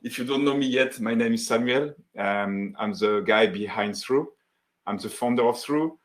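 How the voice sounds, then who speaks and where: A man from France with a French accent, hosting a live webinar as founder of a software tool, greeting viewers in the chat and demoing his workflow. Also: medium pitch, moderate pace. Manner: friendly, greets chat viewers by name, many fillers.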